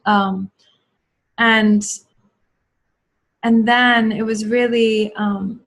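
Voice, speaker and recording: A woman guest on a video call, telling a personal story in an earnest, reflective, spiritual way with many pauses and fillers. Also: on the high side, level tone, fairly bright tone. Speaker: guest, yoga teacher and school founder. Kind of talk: casual interview storytelling.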